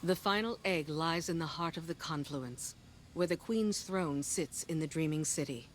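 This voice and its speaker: A woman's voice: sits low, normal speed, close to an everyday voice. A female commander voiced by a woman gives a game mission briefing over faint ambient noise. She is businesslike and resolute, with the weariness of someone long at war. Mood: grave, businesslike. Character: weary, dutiful female military commander briefing a soldier.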